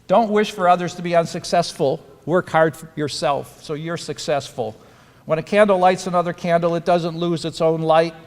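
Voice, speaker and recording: Man, fairly high voice, earnest advice speech, reverberant auditorium. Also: steady tone, normal speed. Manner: earnest, advice-giving with rhetorical questions. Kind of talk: ceremony speech. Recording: reverberant hall.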